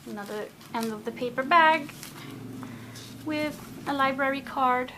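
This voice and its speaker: Slow, chatty woman narrating crafts. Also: high voice, sharp tone, a little hiss or hum. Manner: chatty, talks to herself, gushing and repetitive. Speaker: craft video maker. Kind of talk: casual craft flip-through. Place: indoors at a table.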